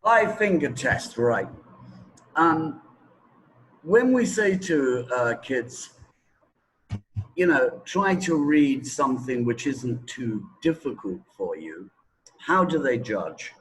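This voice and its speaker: A man's voice: medium pitch, lively intonation, takes it slowly, pauses often, fairly bright tone, slight background noise, rough recording. A man being interviewed as a language teacher, explaining things conversationally and didactically, often checking that the listener follows. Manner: didactic, checking with "right?", "okay?", "yeah?".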